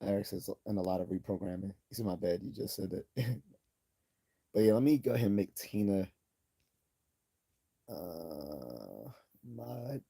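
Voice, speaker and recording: A man hosting a casual livestream, reading viewer comments aloud and rambling with many restarts and throat clearing. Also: sits low, expressive, measured pace, long pauses, dark tone. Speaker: livestream host.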